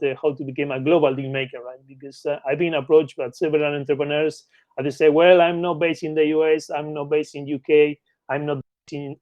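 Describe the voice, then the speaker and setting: Male interview guest, Argentine Spanish accent, slightly higher-pitched, close dry sound, conversational expert explaining with frequent check-in tags. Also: a little mellow, no room sound. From Patagonia, Argentina. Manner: repeatedly checks in with short tag questions. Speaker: interview guest, mergers and acquisitions consultant.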